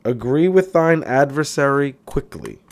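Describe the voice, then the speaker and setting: A man narrates a self-help book aloud. His intonation moves up and down in an animated way, and he leans on key phrases with clear emphasis. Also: medium pitch, a little slow. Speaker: audiobook reader.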